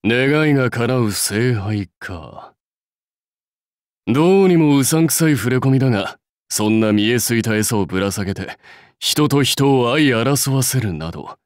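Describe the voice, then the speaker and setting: A male actor plays a proud warlord from a fantasy game, devoted to his clan. He speaks curtly and with complete self-assurance, as one used to command. The pitch is average for a man, and the sound is dry and close. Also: no room sound, lightly acted. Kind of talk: Japanese mobile game character lines.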